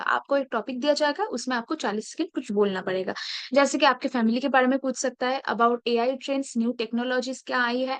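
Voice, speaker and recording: A woman's voice: high-pitched, no echo. A woman tutoring students on a placement exam, explaining steps casually and often checking in with "okay?". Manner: mixes English phrases into Hindi, repeats "okay?" and "ठीक है?".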